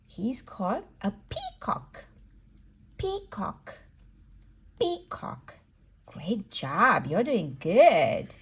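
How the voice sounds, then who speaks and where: Slow, sing-song woman teaching toddlers. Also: mid-range voice, wildly swooping pitch, husky. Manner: sing-song, encouraging, repeats words slowly for a small child. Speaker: children's educator and host. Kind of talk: read-aloud vocabulary lesson for babies and toddlers.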